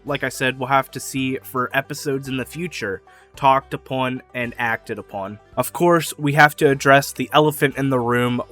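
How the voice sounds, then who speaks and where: A young man hosting his own channel, rambling enthusiastically through fan theories in casual solo talk, laughing now and then and getting a little hoarse. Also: medium pitch, pushed and bright. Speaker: YouTube theory channel host.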